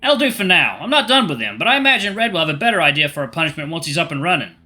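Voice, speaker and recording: A tough old man with a folksy drawl, steady and unbothered, put on by a man reading a story. The voice sits noticeably higher than the reader's own and comes out bright and forceful. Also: very fast, soft background music, heavily acted. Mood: gruff. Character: tough old male fighter, gruff and steady.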